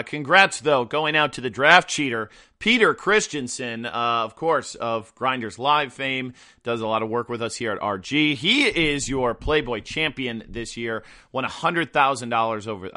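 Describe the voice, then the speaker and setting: A man hosting a podcast in casual chat, his voice hoarse and rough, rambling with many fillers. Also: mid-range voice, pushed and bright. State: hoarse after a weekend of travel and partying. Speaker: podcast host.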